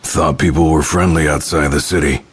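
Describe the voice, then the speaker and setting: An actor playing a big, gruff young tough guy in a video game, bossy and teasing. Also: rumbling low, steady tone, moderate pace, slight background noise.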